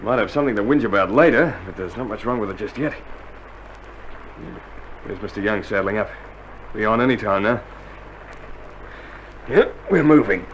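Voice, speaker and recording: An actor playing a steady, matey Australian soldier, laconic and down-to-earth, in a 1960s radio drama. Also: male voice, on the low side, normal speed, pauses often, lo-fi sound, lightly acted. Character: cheerful, steady, laconic infantry soldier under fire. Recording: noisy old vinyl radio drama recording.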